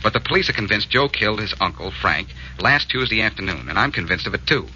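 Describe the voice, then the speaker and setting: A man's voice: fairly low pitch, lively intonation, quick pace, pushed and bright, highs cut off, a little hiss or hum. A man playing a confident, relentless amateur detective who presses leading questions in a 1940s radio drama on an old recording. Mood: probing.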